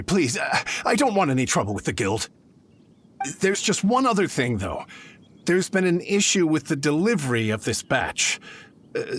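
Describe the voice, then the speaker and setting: An actor playing an opportunistic, easily cowed mineral dealer in a video game, with a hesitant, wheedling way of talking. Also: male voice, on the high side, fairly bright tone, slight background noise. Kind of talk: scripted video game dialogue.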